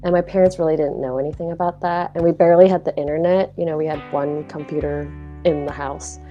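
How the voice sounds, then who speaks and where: A woman's voice: sits low, fairly even intonation, quick pace, lo-fi sound, faint music behind. A young woman from Hong Kong, a guest artist talking casually and reflectively about her work, poking fun at herself along the way. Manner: reflective, self-deprecating, loose with fillers. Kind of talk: casual conversational interview.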